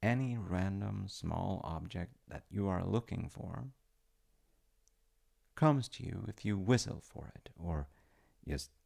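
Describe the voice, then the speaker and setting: A man playing a playful, tender wizard fiancé in an intimate ASMR-style audio roleplay, speaking to the listener in a gentle, coaxing way. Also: low-pitched, expressive, slow pace, creaky, long pauses, full character voice. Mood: playful, excited. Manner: gentle, coaxing, often dropping into whispers. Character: playful, tender male wizard fiancé showing off magical home.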